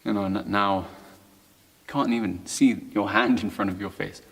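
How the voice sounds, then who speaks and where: A man with a fairly low voice explains things informally, like a guide showing newcomers the ropes. His phrasing is halting, broken into short pieces that sometimes trail away. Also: steady tone, vocal fry, husky. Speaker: caver giving a demonstration. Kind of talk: informal instructional talk.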